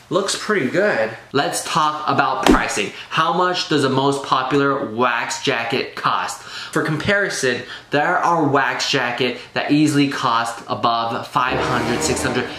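A man's voice: mid-range voice, unhurried, music in the background. A man in his thirties giving a casual, upbeat product review to camera, often putting questions to his viewers. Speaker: menswear review vlogger. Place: small bare room at a desk, indoors. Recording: roomy echo with clear background noise.

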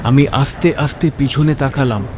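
A man's voice: medium pitch, normal speed. A man narrating a scripted first-person horror story in a suspenseful, dramatic delivery. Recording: music behind the voice.

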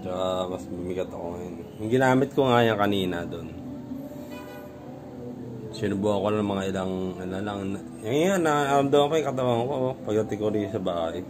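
Man, very low voice, casual home vlog, rambling, phone camera, music behind. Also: lively intonation, a little fast. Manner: rambling, repeats himself, loose restarts. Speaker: vlogger. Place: home room with gym gear.

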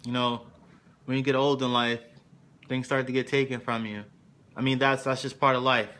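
A man's voice: mid-range voice, little pitch movement, moderate pace, natural voice. A man playing a weary middle-aged football coach giving an intense, dramatic film monologue to his team. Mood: regretful, confiding. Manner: intense, swings from quiet confessing to shouted exhortation. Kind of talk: dramatic film monologue, locker-room speech.

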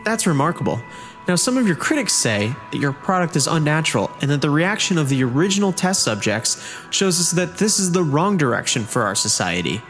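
A man playing a smooth-talking, slick host of a futuristic podcast show in a comedy parody. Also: on the high side, sing-song, brisk, a bit bright, faint music behind. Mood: upbeat. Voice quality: smooth, slick announcer delivery.

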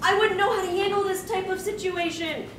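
Woman playing an awkward, anxious anime youngster, very high and hesitant, heard distantly in a noisy hall. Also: steady tone, brisk. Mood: shy, flustered.

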